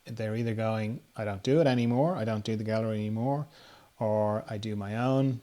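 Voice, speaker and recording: A man hosting a podcast, chatting casually in a halting, anecdotal way. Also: lowish voice, dark tone. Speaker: podcast host. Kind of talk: casual chat.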